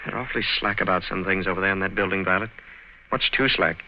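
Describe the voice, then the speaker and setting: An actor playing a worried, affectionate young government clerk in an old radio drama, speaking earnestly. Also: male voice, low-pitched, fast talker. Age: young adult. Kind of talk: 1940s radio acting. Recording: muffled, noisy old radio recording.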